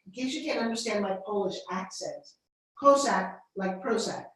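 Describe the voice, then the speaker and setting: A woman's voice: medium pitch, expressive, moderate pace, a bit bright. A woman business coach giving a live workshop, direct, blunt and playful as she gives feedback. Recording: very echoey room, mic far off.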